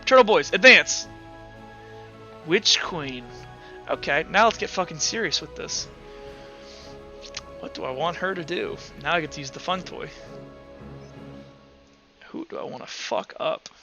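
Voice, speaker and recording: A man's voice: on the high side, lively intonation, measured pace, pauses often, highs cut off. A man working as game master, narrating and refereeing an online tabletop game in a casual, profane way. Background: music.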